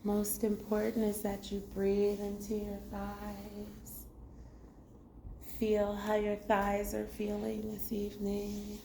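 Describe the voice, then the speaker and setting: A woman guides a meditation at a very slow, gentle pace, leaving long pauses between instructions. Her voice is soft-edged and mellow at a medium pitch. It sounds like a roomy, echoing space, with music playing behind her. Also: completely flat delivery, creaky, a little hiss or hum. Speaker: meditation teacher. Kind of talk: guided body scan meditation. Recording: roomy echo.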